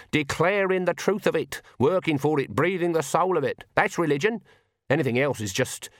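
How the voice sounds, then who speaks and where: A man's voice: medium pitch, no room sound, studio quality, pitched above the performer's own voice, natural voice. A man voicing a fierce, stubborn old country witch woman, blunt and folksy with an emphatic, scolding manner, in an audiobook.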